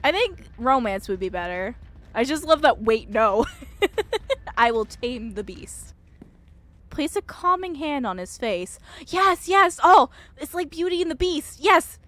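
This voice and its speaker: A woman with a high voice streams a visual novel. She is excitable and expressive, quick to giggle. Her delivery moves between reading the text aloud and giving her own live commentary.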